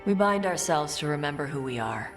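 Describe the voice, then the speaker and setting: A man's voice: on the high side, lively intonation, fairly quick, a bit bright. A man voicing a stoic, dutiful warrior in a video game, terse and reflective in plain words. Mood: calm, reflective. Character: stoic, dutiful male dragon-hunting warrior. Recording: long reverb, faint music behind.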